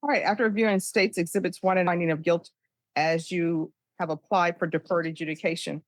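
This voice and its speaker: An American woman speaking as a judge, putting formal procedural questions in a brisk, authoritative, rote manner. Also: fairly low pitch, steady tone, no echo. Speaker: judge presiding over a plea hearing. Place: courtroom.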